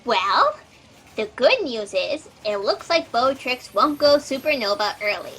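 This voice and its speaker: A woman's voice: on the high side, big pitch swings, unhurried, bright, forceful tone, heavily acted. A woman playing an eager, chatty fact checker in a comic sci-fi audio drama, perky and a little hesitant. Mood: bubbly. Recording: noisy home video-call audio.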